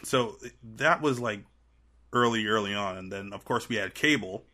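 A man in his thirties with a fairly low, bright voice, from Trinidad and Tobago. He talks in a casual interview style as a guest, feeling his way through sentences with frequent restarts. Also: normal speed. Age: 30s. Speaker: podcast guest.

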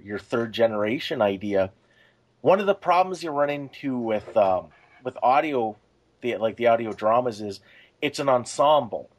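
Lower-voiced man co-hosting a podcast. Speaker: podcast co-host. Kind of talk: casual discussion.

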